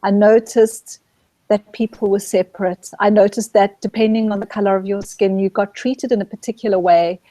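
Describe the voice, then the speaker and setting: A middle-aged woman from Zambia, a guest speaking gently and reflectively about her spiritual search, often pausing to restart her thought. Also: medium pitch, steady tone, no echo, rough recording. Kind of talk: reflective spiritual interview talk.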